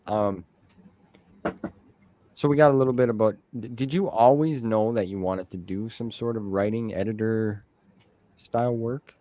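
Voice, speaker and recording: A man's voice: on the low side, normal speed, long pauses, dark tone. A man hosting a casual interview in a laid-back, informal, buddy-like way. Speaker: interview host. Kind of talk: casual friendly interview chat.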